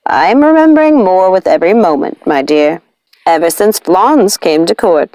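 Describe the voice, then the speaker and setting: A woman voicing a gracious, firm nanny witch in a storybook, composed, measured and gently chiding. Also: medium pitch, no echo.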